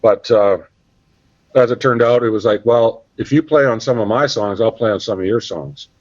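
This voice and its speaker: Canadian musician guest, easygoing filler-heavy chat, muffled dry recording. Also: male voice, lowish voice, normal speed, no echo. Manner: relaxed, rambling with many fillers. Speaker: podcast guest, bassist. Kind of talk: casual interview chat. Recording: dull, dry sound.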